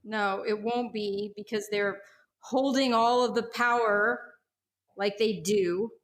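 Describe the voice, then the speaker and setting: A woman's voice: medium pitch, steady tone, takes it slowly, natural voice. A woman playing a bitter, hard-drinking rebel in a tabletop space game, intense, grumbling and defiant.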